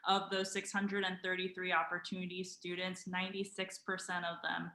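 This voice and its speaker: A woman's voice: lowish voice, monotone, normal speed, pushed and bright. A woman who directs a program, speaking in an enthusiastic, emphatic way on a video call. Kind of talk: online info session talk. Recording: echoey room.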